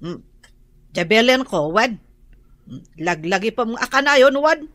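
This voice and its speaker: A woman's voice: fairly high pitch, animated, a little slow, a bit bright, no room sound. Pushy, vengeful Ilocano clan mother. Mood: insistent, scolding. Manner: scolding, goading. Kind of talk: Ilocano radio drama acting.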